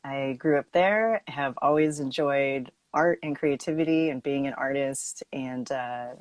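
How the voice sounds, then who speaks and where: Low-voiced, unhurried young woman, giggly. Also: no room sound. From Texas, United States. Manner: soft-spoken, giggly, hesitant. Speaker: guest. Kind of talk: casual chat on a livestream. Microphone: phone or laptop mic on a video livestream.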